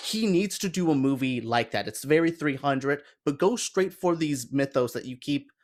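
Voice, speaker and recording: Enthusiastic man chatting, mid-pitched voice. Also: lively intonation, moderate pace, darkish tone. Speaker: podcast panelist. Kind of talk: casual chat about films and games.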